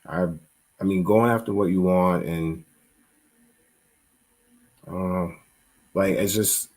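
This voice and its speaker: A man as an interview guest, talking in a halting, rambling way full of fillers. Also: deep voice, fairly even intonation, a little mellow. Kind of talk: conversational interview.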